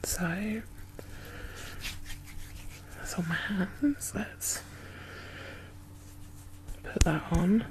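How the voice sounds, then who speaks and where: A woman doing a soft-spoken, whispered ASMR caregiver roleplay in a gentle, murmured, reassuring way. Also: medium pitch, drawn-out words, long pauses, background noise.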